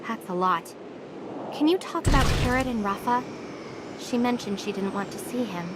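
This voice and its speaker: A woman's voice: on the high side, animated, normal speed, very noisy, natural voice. A woman playing a curious, polite young heroine in a sci-fi anime game, speaking in an earnest, inquisitive way. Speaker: game character voice.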